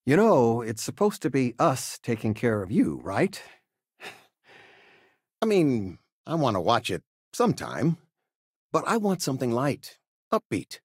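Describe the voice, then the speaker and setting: Game dad, older: husky, very animated pitch, folksy, fussing, gently humorous retired teacher. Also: male voice, dry recording, clean studio sound, heavily acted. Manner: hesitant, folksy, expressive. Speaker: voice actor. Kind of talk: video game character dialogue.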